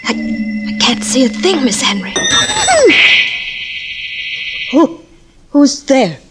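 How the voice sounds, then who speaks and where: An actress playing an earnest, uneasy young woman in an old-time radio mystery, urgent and halting when alarmed. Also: sits high, expressive, loud music behind the voice. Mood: frightened. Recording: vintage 1950s radio drama recording.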